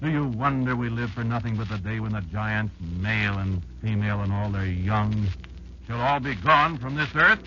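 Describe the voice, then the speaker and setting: An actor playing a tiny, embittered insect elder who patiently instructs a child in a dramatic, halting radio-play monologue. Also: male voice, lowish voice, lightly acted. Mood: horrified, bitter. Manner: dramatic, halting, deliberate storytelling with emphatic swings. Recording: muffled, very noisy old radio recording.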